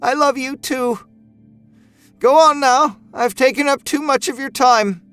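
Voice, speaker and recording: A man's voice: extremely high pitch, a little slow, a bit bright, clean tone, soft background music, voice pushed up. A man voicing an anxious, grieving older mother in a horror audio story, pleading and fretful. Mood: resigned. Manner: pleading, fretful, self-effacing.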